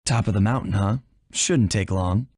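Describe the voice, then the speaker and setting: An actor playing a confident young raccoon thief in a video game, casual and unflustered, with an American accent. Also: male voice, lowish voice, normal speed, darkish tone, husky, no echo, studio quality.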